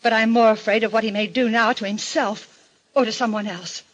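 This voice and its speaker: A woman's voice: on the high side, steady tone, fairly quick, highs cut off, close to an everyday voice. An actress playing a frightened but loyal woman, earnest and breathless, in melodramatic old radio mystery style. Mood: anxious. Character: frightened, loyal woman pleading with a killer friend.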